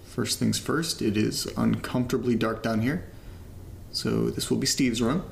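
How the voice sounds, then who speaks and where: Casting: uneasy young guy in found-footage horror audio drama, creaky mid-pitched voice, muttering and self-deprecating. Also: fairly even intonation, a little slow, a little hiss or hum. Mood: uneasy, curious. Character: uneasy young man recording strange noises in his new house.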